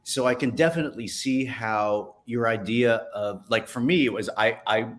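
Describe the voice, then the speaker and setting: An American man hosting a casual, friendly interview, speaking haltingly and repeating words as he forms his questions. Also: mid-range voice. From Ohio, United States. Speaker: interview host.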